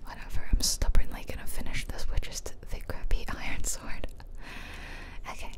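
A woman whispering playful ASMR commentary while she plays a video game. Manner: soft, playful, drawn-out whispering. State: tired. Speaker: game streamer.

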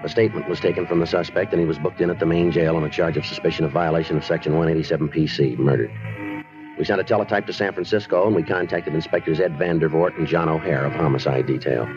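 Deadpan American cop, very low voice, brisk and clipped, old radio crime drama. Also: male voice, music in the background. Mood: businesslike. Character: terse, patient male police detective, businesslike. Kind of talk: 1950s radio drama acting and narration. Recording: dull vintage radio recording.

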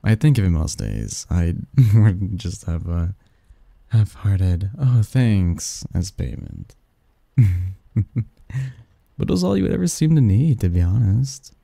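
A man playing a snarky young research assistant who fondly teases a friend in a halting, wordy, intimate ASMR roleplay monologue. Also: sits low, big pitch swings, vocal fry, mellow, dry recording, full character voice. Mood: teasing, fond. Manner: halting, sighing, teasing, wordy.

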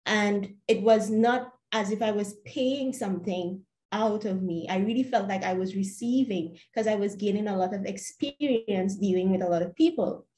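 A young woman with a Trinidadian accent, answering earnestly as a webinar panelist, measured and repeating words as she searches for them. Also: medium pitch, warm tone. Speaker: panelist, startup founder. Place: home room, plain wall. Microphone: laptop webcam video call. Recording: roomy echoey home room.

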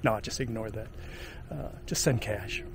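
A man's voice: lowish voice, unhurried, vocal fry, background noise. Rambling older man filming a hike. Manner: jokey, rambling, with filler words. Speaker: travel vlog host. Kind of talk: casual chat to camera. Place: outdoors on a wooded lakeside trail and park. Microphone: handheld camera at arm's length.

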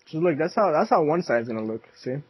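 A man's voice: on the high side, sing-song, fast talker, husky, no room sound, lo-fi sound. A young man chatting while playing a block-building game, teasing and laughing with his friend. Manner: playful, teasing, laughs often. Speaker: gamer. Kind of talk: casual gaming chat.

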